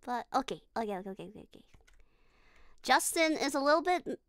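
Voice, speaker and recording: Bright, high-voiced woman streamer. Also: animated, fast, dry recording. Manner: chatty, giggly, talks to the game character. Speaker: VTuber streamer. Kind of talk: casual gaming livestream chat.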